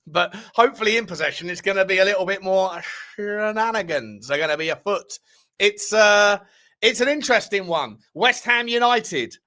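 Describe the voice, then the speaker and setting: A man hosting a live football watchalong, hyped and playful as he chats and shouts out viewers. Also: sits high, lively intonation, moderate pace. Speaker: fan channel host.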